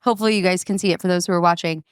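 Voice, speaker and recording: A woman hosts a podcast and gushes about her guest. Her voice sits fairly low and sounds smooth and clear. The sound is dry and close. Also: fairly even intonation, no room sound. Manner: enthusiastic, gushing, quick. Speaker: podcast host. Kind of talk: casual podcast chat.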